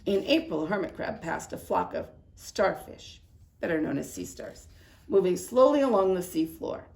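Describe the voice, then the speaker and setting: A woman preschool teacher reading a storybook aloud in an expressive way, with little explanatory asides to young children. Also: lowish voice, normal speed, gravelly.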